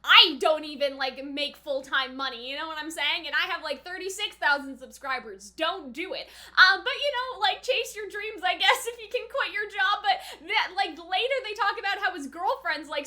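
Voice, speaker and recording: A young woman giving casual, excitable reaction commentary as a YouTuber, rambling and giggly, drifting into tangents. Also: very high voice. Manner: giggly, rambling, animated, filler-heavy tangents. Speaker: YouTuber reacting to an anime episode.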